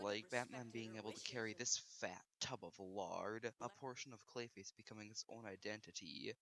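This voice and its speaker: A man narrating a scripted video review in a critical, emphatic manner. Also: lowish voice.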